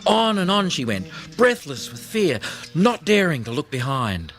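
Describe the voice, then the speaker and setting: A man reading a children's audiobook in an expressive storytelling style, with plucked guitar music behind him. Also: fairly high pitch, a little slow. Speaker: audiobook narrator.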